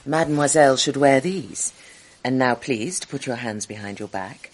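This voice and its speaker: An actress playing a cold, imperious female skeptic with villainous intent in a radio drama, speaking deliberately. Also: extremely low pitch. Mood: stern.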